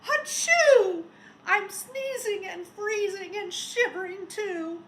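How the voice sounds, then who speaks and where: A woman voicing a shivering, sneezy snowman from a children's picture book, with exclamatory, drawn-out delivery. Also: very high voice, fairly bright tone, reverberant, voice pushed up, heavily acted. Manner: exclamatory, drawn-out storybook read-aloud delivery.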